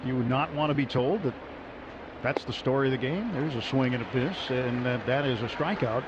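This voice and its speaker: A conversational male baseball announcer who chats between pitches on a radio broadcast. The sound is a bit dull, with clear ballpark noise underneath. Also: fairly quick.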